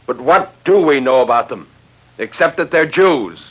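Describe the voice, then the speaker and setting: A man's voice: mid-range voice, animated, voice pushed up, close to an everyday voice. An actor playing an earnest, stubborn man who objects insistently, in 1940s radio drama style. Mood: agitated, indignant. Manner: agitated, insistent, halting when interrupted. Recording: dull old radio recording.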